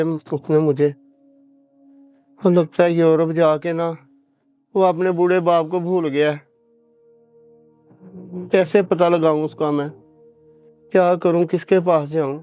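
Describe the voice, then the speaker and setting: A man's voice: a little high, warm tone, clear, resonant tone, no echo, natural voice. A man playing a poor, trusting old village father, pleading and affectionate in a dramatised moral story. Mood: worried. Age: older. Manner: pleading, repeatedly addresses listener affectionately.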